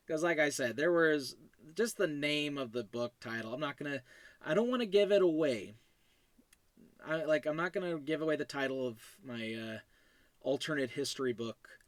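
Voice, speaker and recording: A man, around his thirties or older, talking casually and haltingly as a podcast host, rambling in a self-deprecating, chuckly way. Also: medium pitch, no echo. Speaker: podcast host and writer of an audio drama series. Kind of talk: casual rambling solo commentary.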